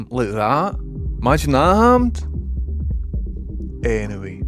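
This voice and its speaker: A man's voice: mid-range voice, huge pitch swings, takes it slowly. A man with a Scottish accent chatting informally as a livestream host, rambling as he replies to viewers. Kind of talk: casual livestream chat, replying to viewers. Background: music playing.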